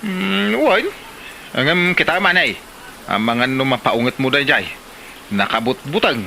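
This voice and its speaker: A man's voice: fairly high pitch, expressive, unhurried, gravelly, sharp tone, exaggerated voice. A man playing an apologetic, conciliatory village husband in a comedy drama, with an Ilocano accent. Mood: placating. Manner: pleading, conciliatory. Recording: slight background noise.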